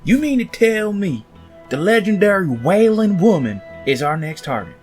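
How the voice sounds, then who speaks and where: High-voiced, cocky ghostbuster played by a man. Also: sing-song, normal speed, darkish tone, soft background music. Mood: cocky, excited. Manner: cocky, folksy. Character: brash, eager male ghostbuster leading the hunt. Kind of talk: audio drama acting.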